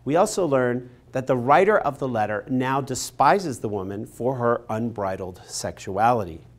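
A man in his forties giving a scripted literature lecture in a studio. He has an expressive, emphatic storytelling delivery and speaks into a lapel mic. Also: medium pitch, moderate pace. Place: studio in front of a painted backdrop.

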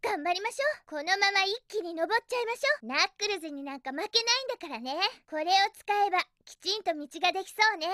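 A woman's voice: extremely high pitch, bright, forceful tone, no echo, clean studio sound, heavily acted. A woman voicing a feisty young female hedgehog heroine in a Japanese video game, with a girlish, emphatic, bossy delivery. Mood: cocky, determined.

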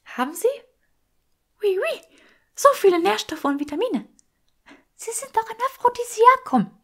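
Excitable, high-pitched French elf. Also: female voice, a little slow, exaggerated voice. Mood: eager, gushing. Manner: breathless, theatrical, gushing. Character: excitable, dramatic French female house-elf servant.

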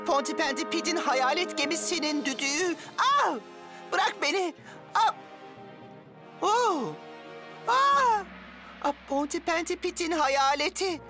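A woman voicing an excitable, easily frightened young boy in a children's cartoon, with dramatic gasps and wails. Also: extremely high pitch, exaggerated voice. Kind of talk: animated children's cartoon dub.

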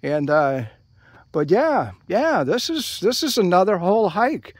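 High-pitched older American man, rambling. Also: big pitch swings, husky, no room sound, clean studio sound. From United States. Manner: rambling, halting. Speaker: hiking vlogger. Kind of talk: casual rambling outdoor chat. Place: outdoors at a trailhead by open fields. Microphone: handheld camera held by speaker.

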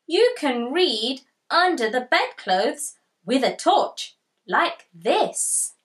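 A woman voicing an excitable, boastful young male tiger in a children's storybook, stretching words for emphasis. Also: high voice, very slow, pitched above the performer's own voice, heavily acted. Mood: excited. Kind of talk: children's storybook character read aloud.

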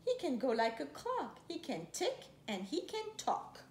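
A woman's voice: high voice, wildly swooping pitch, a little slow, darkish tone. A woman in her thirties reading a children's picture book aloud expressively, drawing out words and playfully imitating noises. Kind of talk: animated picture-book read-aloud with playful sound effects. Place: living room couch, indoors. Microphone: camera a few feet away. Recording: roomy, echoey sound with faint noise.

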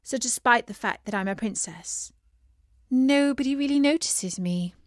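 A woman's voice: fairly high pitch, lively intonation, moderate pace, no echo, studio quality, close to an everyday voice. A woman voicing a shy but earnest young princess in a storybook reading, eager and emphatic.

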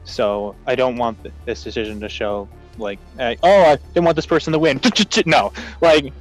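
A man's voice: mid-range voice, normal speed, soft background music, rough recording. A man taking part in an online game, talking in a hesitant, faltering way. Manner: hesitant, with restarts and a nervous laugh. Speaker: contestant. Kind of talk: casual chat on a video call. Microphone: video call.

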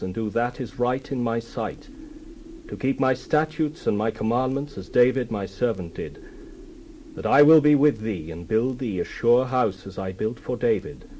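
A man's voice: medium pitch, warm tone, a little hiss or hum, lightly acted, low-quality recording. An actor playing God as a solemn, commanding male deity in a dramatized scripture reading, stately and declamatory.

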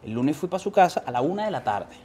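A man's voice: fairly high pitch, sing-song, quick pace, dark tone, soft background music. A young man from Venezuela with a Venezuelan accent, a guest telling slangy stories with many restarts, on a sofa with a lapel mic. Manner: slangy, storytelling with quoted voices, frequent restarts. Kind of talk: casual interview. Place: living room, on a sofa.

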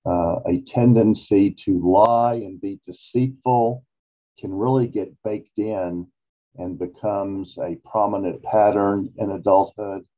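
A man in his sixties explaining things as an expert guest in a measured, emphatic way on a video call. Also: fairly low pitch, steady tone. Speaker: psychologist interview guest. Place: small office, indoors. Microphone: video call computer microphone. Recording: dull, lo-fi video-call audio.

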